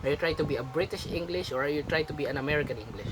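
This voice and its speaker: A man's voice: fairly high pitch, rapid-fire. A young man from the Philippines critiquing a family member's video in casual chat, emphatic and lecturing, repeating his points. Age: young adult. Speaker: vlogger's son, critic. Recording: noisy home recording.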